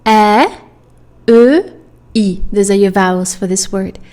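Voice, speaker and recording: A woman's voice: mid-range voice, slow pace, darkish tone. A native French-speaking woman tutoring pronunciation, drilling sounds one at a time and checking in with "okay?". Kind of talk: pronunciation lesson.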